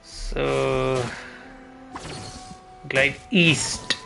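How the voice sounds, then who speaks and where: A man's voice: mid-range voice, fairly even intonation, very slow, creaky. A man giving casual gameplay commentary, thinking aloud as he mutters through each step to himself. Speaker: video game streamer. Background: game music.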